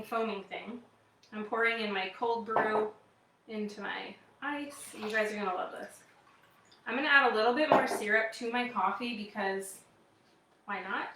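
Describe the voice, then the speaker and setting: Female live demo host, Wisconsin accent, chatty and upbeat, slightly high voice, recorded at a distance in an echoing room. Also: bright, forceful tone, a little hiss or hum. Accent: American Midwestern, Wisconsin. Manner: upbeat, chatty, addressing viewers. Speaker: live demo host, direct-sales kitchenware consultant. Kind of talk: casual chatty livestream product demo. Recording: roomy echo, distant microphone.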